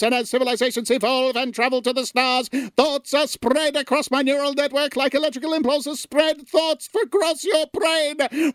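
A woman's voice: sits high, dry recording. A woman playing a grandiose galaxy-spanning pet being in a comedy audio drama, pompous and declamatory.

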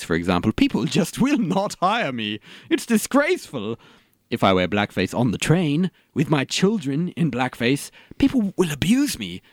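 A man's voice: on the high side, dry recording, clean studio sound. A man playing a self-righteous, oblivious and pompous theatre actor in a comedy mock interview. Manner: pompous, chuckling, aggrieved.

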